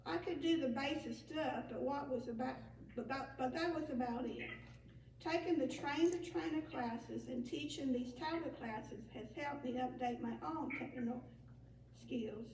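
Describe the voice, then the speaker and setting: A woman's voice: high voice, unhurried, a little mellow. A woman, a senior center director, reading prepared remarks at a meeting, with small slips and restarts. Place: large meeting room. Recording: echoey room, distant mic, faint ambience.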